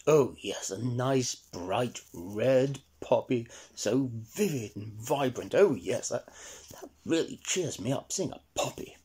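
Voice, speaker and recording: A man acts a thoughtful gentleman fox from a children's tale. He is soft-toned and husky, dropping low as he hesitates and savours his words. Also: lively intonation, takes it slowly. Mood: musing, pleased. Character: thoughtful, mellow male fox, easily cheered.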